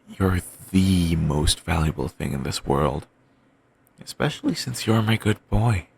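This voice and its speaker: A man playing a creaky, doting yandere boyfriend. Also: deep voice, lively intonation, full character voice. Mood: doting, soft. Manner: soft, murmuring, slow and rambling endearments. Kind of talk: ASMR audio roleplay.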